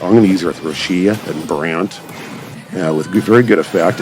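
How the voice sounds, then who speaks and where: Low-voiced man giving casual game commentary. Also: darkish tone. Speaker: gaming channel creator and commentator. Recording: background music behind the voice. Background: music.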